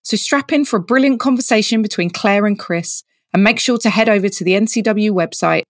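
A woman's voice: mid-range voice, fairly quick, dry recording, studio quality. A woman presenting a scripted podcast introduction. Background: music.

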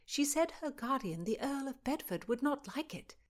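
A woman voicing a careful, modest young gentlewoman, speaking quick and polite. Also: lively intonation. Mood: anxious. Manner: higher and lighter, quick and polite.

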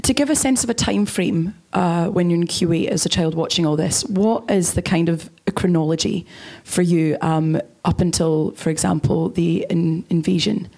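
A woman interviewing a guest, asking careful, measured questions. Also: lowish voice, gravelly, dark tone.

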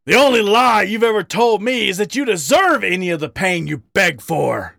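A raw, bitter male badger pours out his heartache, his voice climbing high and swinging wide between pleading and ragged outbursts, as a male reader puts him on. The tone carries a gravelly grit. It sounds dry and close, with no room around it. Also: animated, normal speed, pushed and bright, no echo, exaggerated voice. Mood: anguished.

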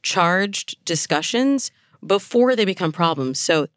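Low-voiced American woman giving advice. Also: animated, slow pace, dry recording, studio quality. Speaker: dating coach, podcast guest. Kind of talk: conversational expert advice.

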